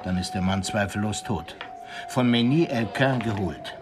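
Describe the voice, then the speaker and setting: An actor playing a learned, grave police commandant who tells tales in a theatrical, savoring way in a radio crime drama. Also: male voice, lowish voice, a little slow, noisy background, natural voice. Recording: music bed under studio radio drama.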